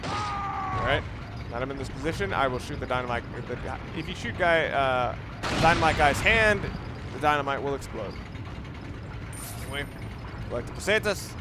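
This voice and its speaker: A man's voice: mid-range voice, big pitch swings, moderate pace, long pauses. A man giving off-the-cuff, rambling walkthrough commentary on a video game, instructive and often correcting himself. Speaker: gamer giving a let's play commentary. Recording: noisy, game gunfire behind. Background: video game ambience and gunfire.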